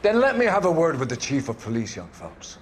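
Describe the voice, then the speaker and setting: An older police captain holds forth in a cinematic crime game. His delivery is grandiose and deliberate, with sweeping intonation like a preacher, fatherly yet menacing. There is faint noise behind him. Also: on the high side, wildly swooping pitch. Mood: approving. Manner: grandiose, deliberate, sermon-like oratory. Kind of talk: cinematic video game acting.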